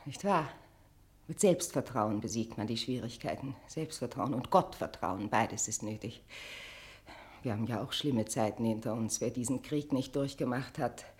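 An actress in a German radio drama playing a nervous, evasive, self-righteously pious married woman who moralizes in a halting, breathy, hurried way. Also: very deep voice, a little mellow.

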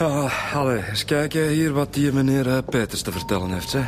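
A laid-back male detective inspector played by an actor talks in a wry, everyday manner. He has a Flemish accent and a mid-range voice, with clear ambient noise in this radio drama. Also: mid-range voice, animated, fairly quick, natural voice. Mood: polite, businesslike. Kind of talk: naturalistic radio drama dialogue.

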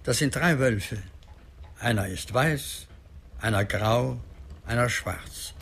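An actor playing an old, devout grandfather and medicine man in a German radio drama, solemn, deliberate and plain-spoken. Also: mid-range voice, a bit bright, breathy edge, heavily acted. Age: older.

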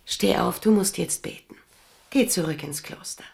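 A woman's voice: fairly low pitch, moderate pace, gravelly. An actress in a German radio play, playing an imperious, seductive noblewoman who schemes and commands. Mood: conspiratorial, urging. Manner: commanding, coaxing.

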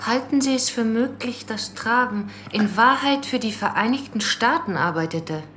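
A woman's voice: a little high, normal speed, fairly bright tone, a little hiss or hum, lightly acted. Matter-of-fact sceptical woman investigator played by an actress. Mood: inquiring. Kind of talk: radio play acting.

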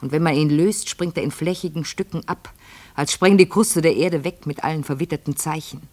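A woman's voice: low-pitched, a little fast, a little mellow. An actress playing a vain, obsessive lady fearful of ageing, speaking theatrically and intensely in a literary radio play. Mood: feverish, yearning. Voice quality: husky, breathy, creaky. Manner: theatrical, intense, addressing a servant.

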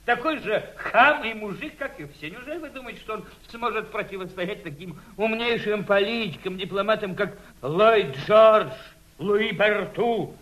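An actor playing a bitter, contemptuous, hot-tempered aristocratic émigré in a radio drama, heatedly repeating himself. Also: male voice, high voice, lively intonation, normal speed, a bit bright, rough recording. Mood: furious contempt. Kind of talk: theatrical radio drama acting.

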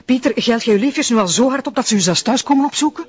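An actress playing a jealous, sharp-tongued Flemish woman with a sarcastic, indignant manner in a naturalistic TV drama. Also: on the high side, lively intonation, fast talker, husky. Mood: jealous, angry. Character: jealous, sharp-tongued woman scolding her police partner. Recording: dull lo-fi audio with faint noise.

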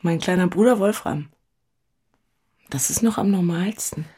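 A woman's voice: lowish voice, a little slow, mellow, husky, no echo, clean studio sound. An actress playing an emotional, infatuated single city woman in an intimate audio drama. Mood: intimate, wry.

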